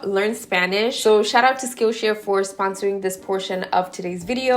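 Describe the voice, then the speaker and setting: Chatty young Kenyan woman vlogger. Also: medium pitch. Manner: chatty and relaxed, talking while doing her makeup. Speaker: beauty YouTuber. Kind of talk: get-ready-with-me Q&A chat.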